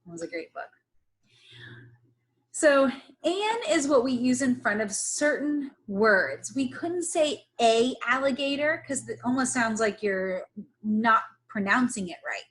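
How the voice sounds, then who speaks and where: A woman teacher leading a reading lesson for young children, instructing in an encouraging way with pauses for them to repeat. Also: fairly high pitch, lively intonation, fairly bright tone, rough recording. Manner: instructional, encouraging, pausing for repetition. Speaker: early-grades teacher. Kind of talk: slow read-aloud phonics lesson for young children.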